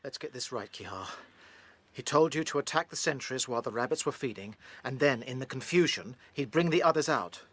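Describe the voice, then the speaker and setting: An actor playing an earnest, determined rabbit leader in a radio play, grave and thoughtful. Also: male voice, mid-range voice, lively intonation, brisk, fairly bright tone, slight background noise, lightly acted. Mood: urgent.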